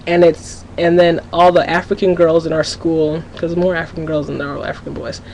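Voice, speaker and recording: A woman's voice: low voice, little pitch movement, fairly quick, darkish tone, low-quality recording. A teenage girl rambles to her webcam at home, candid and a little scattered, restarting sentences as her thoughts run ahead. A faint hiss sits under her voice. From Minnesota, USA. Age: teens. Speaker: vlogger. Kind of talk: casual confessional webcam vlog.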